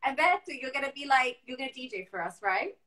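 A woman's voice: high-pitched, animated, quick pace, sharp tone. A woman in her thirties hosting a casual, effusive chat interview over a video call. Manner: effusive, chatty. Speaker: host. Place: living room at home, indoors. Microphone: video call device.

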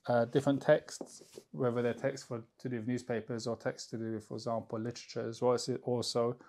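A man in his thirties explains his textbook choices in a loose, conversational way, often restarting and filling pauses. He sits in a small room lined with shelves, close on a lapel mic. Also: medium pitch, level tone, darkish tone. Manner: seated, gesturing and holding up books. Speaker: Arabic teacher. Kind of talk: informal book walkthrough.

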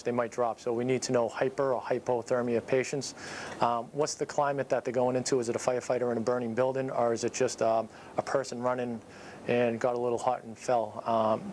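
A man in his thirties giving an informal training talk as an instructor, explaining things in a halting, matter-of-fact way. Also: mid-range voice, darkish tone, slight background noise. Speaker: paramedic instructor. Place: small dispatch office, indoors. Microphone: clip-on lapel mic.

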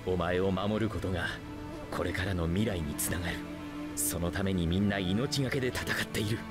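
A man's voice: low voice, brisk, over loud music, natural voice. A man playing a stern, protective adult ninja teacher in an anime game, speaking earnestly and firmly. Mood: grave. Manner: earnest, firm, admonishing. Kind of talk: dramatic anime game acting.

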